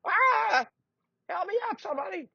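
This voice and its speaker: A man voicing a frail, boastful elderly knight in a squeaky, quavering voice that cries out. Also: sing-song, moderate pace, creaky, bright, forceful tone, dry recording, heavily acted. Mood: panicked. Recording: dull lo-fi recording.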